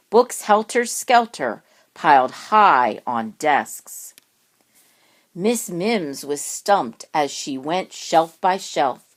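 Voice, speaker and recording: A woman reading a rhyming children's picture book aloud, expressively and with dramatic emphasis on words. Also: lowish voice. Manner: deliberate, dramatic word emphasis.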